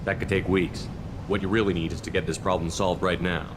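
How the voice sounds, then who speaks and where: An actor playing a confident, steady military commander in a video game, direct and resolute. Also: male voice, low voice, a little fast, background noise, close to an everyday voice.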